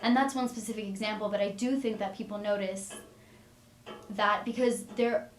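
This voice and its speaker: A woman's voice: mid-range voice, fairly even intonation, moderate pace. Young woman, college student, chatty, roomy recording, faint noise. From Miami, US. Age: 20s. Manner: chatty, lots of "like". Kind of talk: interview answers.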